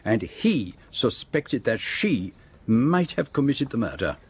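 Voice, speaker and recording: An actor playing a self-assured detective in a radio drama, speaking in a deliberate, formal and precise way as he lays out a case. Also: mid-range voice, big pitch swings, breathy edge, no echo, exaggerated voice. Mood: accusing, deliberate. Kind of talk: radio drama acting, monologue.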